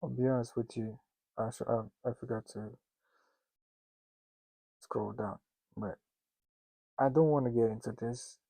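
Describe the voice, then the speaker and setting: A man's voice: medium pitch, fairly even intonation, gravelly, mellow, breathy edge, no echo, poor audio. A man with a Russian accent giving a casual spoken lesson to founders. He jokes and mocks himself in loose asides, and he often stops and restarts his sentences. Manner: joking and self-mocking, with loose asides; halting, with many restarts and fillers. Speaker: instructor teaching founders.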